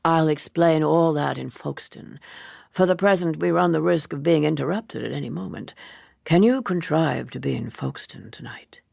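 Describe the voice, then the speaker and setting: A woman voicing a suave, persuasive Edwardian gentleman who speaks deliberately and confidingly in a novel reading. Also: low-pitched, creaky, husky, no echo, clean studio sound, exaggerated voice. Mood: brisk, conspiratorial.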